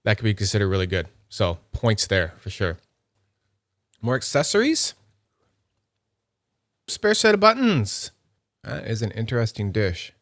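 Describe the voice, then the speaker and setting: A man with a slightly low voice talks casually through an unboxing, thinking aloud as he handles each item. He sounds nerdy and upbeat. The sound is close and dry, with no room echo. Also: big pitch swings, a little slow, pauses often, fairly bright tone. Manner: chatty, thinks aloud while handling items. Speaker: YouTube fidget toy reviewer.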